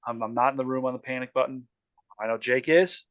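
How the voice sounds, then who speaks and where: A man's voice: mid-range voice, fast, dry recording. A man hosting a casual sports podcast, talking loosely with lots of ums and restarts. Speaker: podcast host.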